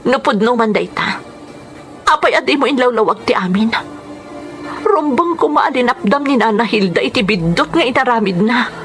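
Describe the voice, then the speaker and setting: Radio drama: wronged, dutiful daughter woman acting, Ilocano accent, high earnest pleading, soft music bed. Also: expressive, moderate pace, lightly acted. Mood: reproachful. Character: hurt, dutiful adult daughter defending her honour. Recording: music under the voice.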